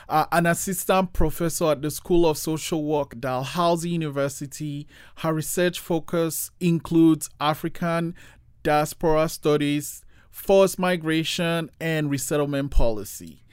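A man's voice: on the high side, clear, resonant tone, dry recording, studio quality. A man hosting an interview podcast, hesitant, with frequent fillers and restarts. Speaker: podcast host.

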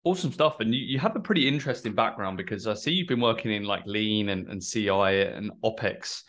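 The man with the slightly deep voice who opens a business podcast and chats his guest through the questions. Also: fairly quick, fairly bright tone. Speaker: podcast host. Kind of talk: conversational interviewing.

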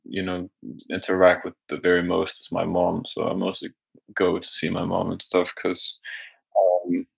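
A young man from Denmark with a mixed Danish, American and British accent, talking casually and haltingly as a guest. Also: sits low, completely flat delivery, creaky, slightly rough, low-quality recording. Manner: halting, searching for words. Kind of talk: casual chat.

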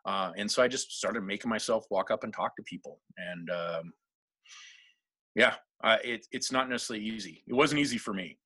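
Middle-aged guy, bit husky, bright forceful tone, rambles and laughs a lot. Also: lowish voice, fairly quick, dry recording. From Seattle, USA. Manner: rambling, self-deprecating, laughs often. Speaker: guest. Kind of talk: casual interview talk.

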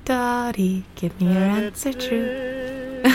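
A woman's voice: on the high side, very slow, soft background music. A woman playing an anguished, defiant teenage girl in love, reading her diary in a dramatic monologue. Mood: dreamy, tender.

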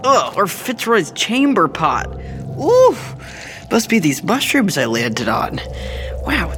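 Excitable teenage fairytale prince, music behind. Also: male voice, high-pitched, exaggerated voice. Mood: disgusted. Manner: exclamatory, self-talking, melodramatically exasperated. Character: seventeen-year-old prince, eager, naive, excitable, whiny would-be hero. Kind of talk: audio drama acting.

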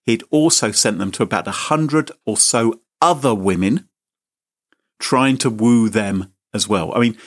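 A man hosting a chat show, telling a comic story with theatrical mock outrage. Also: measured pace. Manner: mock-indignant, theatrical, sweeping pitch. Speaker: podcast host.

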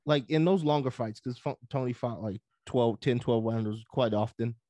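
A man's voice: mid-range voice, fairly even intonation, normal speed, no room sound. Upbeat man asking questions. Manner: upbeat, loud personality. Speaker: interviewer. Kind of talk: casual interview talk.

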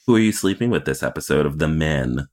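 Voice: a bass voice, lively intonation, fast talker, a little mellow. A podcast host chatting casually about television in an opinionated, playful way. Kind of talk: casual TV recap chat.

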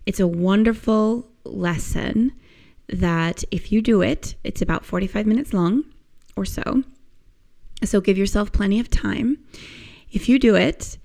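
Hoarse, croaky-voiced woman podcast host. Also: mid-range voice, measured pace. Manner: enthusiastic, encouraging, gently instructive. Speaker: podcast host and movement teacher. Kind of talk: casual podcast monologue.

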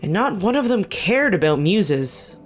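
An actress playing a middle-aged government official in an audio drama, speaking candidly with wry, hesitant phrasing. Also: female voice, mid-range voice, very animated, measured pace. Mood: wry, determined. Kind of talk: naturalistic audio drama dialogue. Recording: music underneath. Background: background music.

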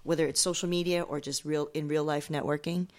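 Low-voiced American woman chatting casually. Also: fairly even intonation, brisk, a little mellow. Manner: informal, conversational. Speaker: podcast speaker. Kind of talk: casual podcast chat.

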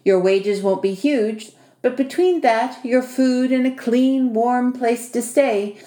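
A short, bossy male house spirit from a storybook, performed by a woman. He is wry and teasing, with a foreign turn of phrase. The tone is mellow, and the room around it echoes. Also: fairly high pitch, slow, voice pushed up. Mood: wry, businesslike. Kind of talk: audiobook character dialogue.

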